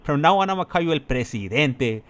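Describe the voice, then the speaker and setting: A man's voice: a little high, expressive, fairly quick, a bit bright. An American man chatting casually as a channel host, telling personal stories in a rambling, repetitive way full of filler phrases. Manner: rambling, repetitive, peppered with "like" and "you know".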